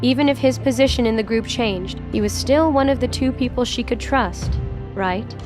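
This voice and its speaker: A woman's voice: fairly high pitch, moderate pace, over music. A woman narrating a scripted story for an audio drama.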